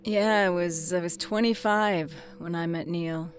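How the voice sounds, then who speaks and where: A woman's voice: on the low side, fairly even intonation, a little slow, a little hiss or hum, natural voice. An actress playing a troubled, self-doubting middle-aged former policewoman, earnest and hesitant, in naturalistic audio drama dialogue. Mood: wistful, rueful. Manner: hesitant, stammering, apologetic.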